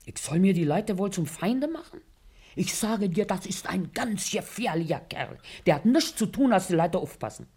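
A woman's voice: mid-range voice, expressive, normal speed, dark tone, slightly rough. An actress playing a scheming, bossy working-class wife and mother in a radio play, scolding and wheedling in German regional dialect.

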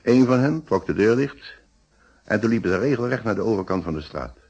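Low, husky, hesitant witness. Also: male voice, animated, fairly quick, a little mellow, lo-fi sound. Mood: careful, cooperative. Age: middle-aged. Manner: hesitant, polite, careful. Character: hesitant, decent married man being questioned as witness. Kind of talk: Dutch radio play acting.

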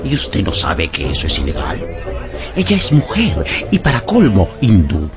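An actor playing a stubborn, suspicious, scheming police agent in a radio drama, with an insinuating, emphatic delivery. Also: male voice, low-pitched, wildly swooping pitch, normal speed, heavily acted. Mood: scornful. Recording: music behind the voice.

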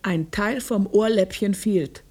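A woman's voice: mid-range voice, slow pace, mellow. Stubborn, curt old farm woman. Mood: curt, defiant. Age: older. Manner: curt, defiant answers. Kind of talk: radio drama acting.

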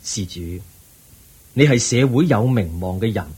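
A man with a Hong Kong Cantonese accent playing the Buddha, a calm, wise teacher who counsels gently and patiently in a radio drama. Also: medium pitch, unhurried, slightly rough.

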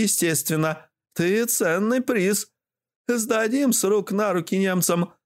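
A man's voice: high voice, pitched above the performer's own voice. A sly old granny from a supernatural storybook, acted out by a man, speaks well above a man's usual register. She is bossy and secretive, with a folksy, mocking way of scolding whoever she talks to. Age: older.

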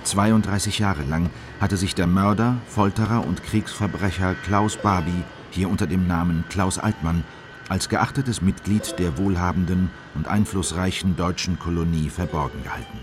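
Male actor as grave official narrating his memoir: very low voice, measured, formal, music underneath. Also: close to an everyday voice. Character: grave male Bolivian official recounting a manhunt, disapproving. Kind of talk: scripted radio drama narration.

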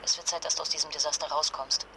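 A woman's voice: deep voice, flat delivery, fast talker, bright, forceful tone, a little hiss or hum. An actress playing a distraught young mother fleeing disaster with a sick child, speaking with emotional, urgent intimacy in a naturalistic radio drama. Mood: weary, resolute.

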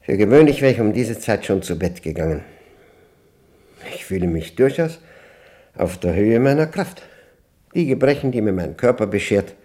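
Older male for radio drama monologue: solitary brooder, dark mellow tone, husky, halting and deliberate. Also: deep voice. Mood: sober, reflective. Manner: halting, deliberate, introspective phrasing. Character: aging, solitary man brooding over a humming machine.

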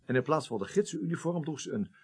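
Hesitant, husky man under questioning. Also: a little high, expressive, quick pace. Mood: hesitant recalling. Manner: hesitant, rambling, defensive. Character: evasive male office superior being questioned as witness. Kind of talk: Dutch radio play acting.

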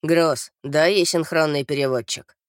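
A woman voicing a stern, bearded male police captain from an audiobook, formal and commanding with crisp diction. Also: deep voice, fairly even intonation, unhurried, no echo, clean studio sound, pitched below the performer's own voice.

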